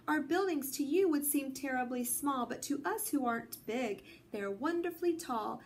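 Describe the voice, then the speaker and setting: A tiny town mayor with a high, piping voice, as a woman reads him aloud, eager and full of thanks. He sounds lifted well above an ordinary speaking voice, quick to please and bright with gratitude. Storybook reading with faint background noise. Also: animated. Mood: grateful. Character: tiny cheerful male mayor of a speck town.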